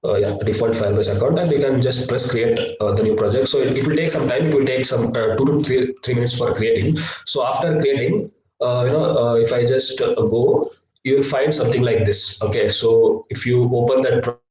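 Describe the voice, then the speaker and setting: A young man teaching a live online coding lesson, hesitant with many filler sounds, his voice slightly low from a cough. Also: brisk, a little mellow. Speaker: workshop instructor. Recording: echoey, distant laptop mic.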